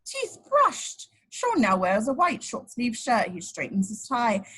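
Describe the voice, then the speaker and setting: Female narrator for stage directions: slightly high, husky, very animated, amateur table-read feel. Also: a little slow. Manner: stumbles over words and corrects herself.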